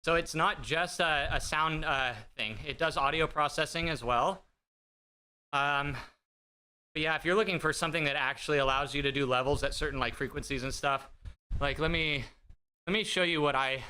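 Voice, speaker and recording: Bright-voiced, rambling male streamer. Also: normal speed, pauses often, clear, resonant tone. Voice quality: bright, higher-pitched voice. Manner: rambling and self-deprecating, with frequent fillers and laughs. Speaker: livestream host. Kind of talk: casual chat with live viewers. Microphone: desk streaming mic through an audio mixer.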